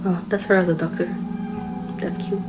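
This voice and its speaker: A woman reacts casually to a horror video in a slightly low, very even voice. She speaks slowly, with music clearly audible behind her. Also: poor audio.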